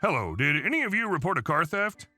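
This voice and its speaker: A man's voice: a little high, big pitch swings, fast talker, pushed and bright, faint music behind, full character voice. Man voicing a polite, firm cop, formal and patient, in a story narration. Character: patient, firm male police officer.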